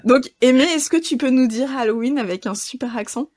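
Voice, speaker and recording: A woman's voice: high-pitched, fairly quick, darkish tone, no echo. A woman hosting a casual podcast, upbeat, leading the segments with many fillers. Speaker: podcast host.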